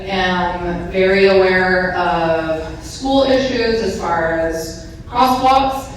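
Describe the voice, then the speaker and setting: A woman talking to neighbors at a meeting, her loud voice full of ums and filler. She is picked up from across a very echoey room on a distant mic. Her pitch sits a little low for a woman. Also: very slow, slight background noise. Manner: loud, chatty, many ums. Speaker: neighborhood police officer. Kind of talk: public talk at a community meeting. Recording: echoey room recorded from afar.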